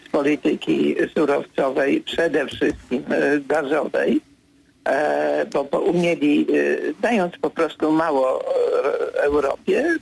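An older man with a deeply gravelly, husky voice talks slowly and deliberately in a radio studio. He pauses often to gather his thoughts, with fillers between phrases. When he pushes back on a point, he becomes firm and insistent. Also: poor audio. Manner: measured, hesitant with many fillers, grows insistent when correcting. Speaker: political commentator.

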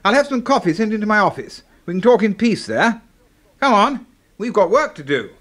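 A man's voice: high voice, very animated, a little fast, fairly bright tone. A man playing a jovial, chummy English doctor in a 1970s radio drama, with a British accent and a hearty, clipped manner. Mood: breezy. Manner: hearty, chuckling, clipped old-chap talk.

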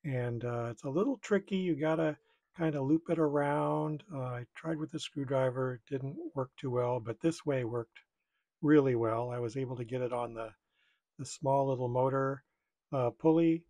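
A man's voice: mid-range voice, darkish tone. A middle-aged man giving casual hands-on tutorial commentary, thinking aloud in a tentative way. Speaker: repair video maker.

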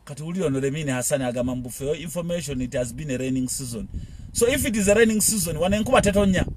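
Rapid-fire impassioned Ugandan man. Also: a little high, lively intonation, darkish tone, slight background noise. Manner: rapid, repetitive, rhetorical questions. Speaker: political commentator. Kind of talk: impassioned political monologue.

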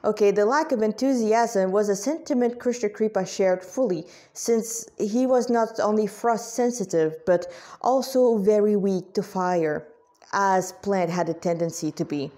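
A woman's voice: medium pitch, unhurried, dark tone. A woman reading their fan fiction aloud as the author, with chatty asides, often stumbling and rereading phrases. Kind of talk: informal read-aloud narration with chatty asides.

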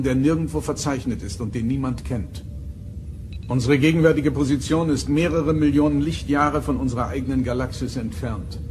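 A composed, commanding starship captain from a radio play, acted by a man. His mid-pitched voice gives clipped, authoritative orders, with faint music behind. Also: a little mellow, natural voice.

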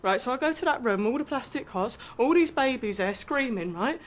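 A woman's voice: a little high, animated, fairly quick. An actress playing an emotional young mother, frantic, tearful and at times whispering. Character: distressed young working-class mother. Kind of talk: dramatic acting showreel.

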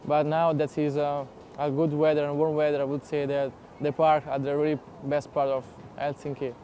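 A man's voice: on the high side, flat delivery, normal speed, warm tone, a little hiss or hum. A young man with an Italian accent, speaking enthusiastic broken English in a documentary interview outdoors. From Italy. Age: young adult. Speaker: exchange student. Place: outdoors in a city square.

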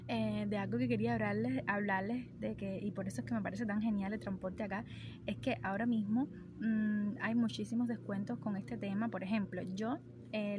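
Chatty Cuban woman vlogging outdoors. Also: mid-range voice. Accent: Cuban Spanish. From Cuba. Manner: chatty, enthusiastic, with fillers. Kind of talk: casual vlog talk to camera. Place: outdoors by trees on a street. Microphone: phone camera held at arm's length. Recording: outdoor street noise behind.

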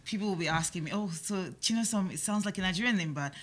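A woman's voice: on the low side, quick pace. A woman in her thirties hosting a talk show from a small studio, chatty, acting out the questions listeners might ask. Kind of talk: interviewing guests. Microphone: studio mic on stand.

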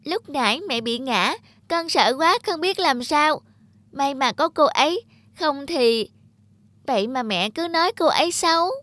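Casting: little cartoon daughter, very high childlike voice, polite, pleading, close dry sound. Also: female voice, lively intonation, a little slow, a bit bright, dry recording. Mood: earnest. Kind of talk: animated moral tale dubbing.

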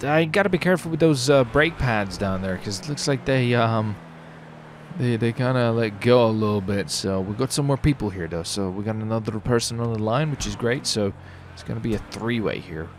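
A man's voice: medium pitch, brisk, a little hiss or hum. A man commentating casually on his gameplay as a streamer, with slangy, sweary talk. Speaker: streamer hosting a gaming video.

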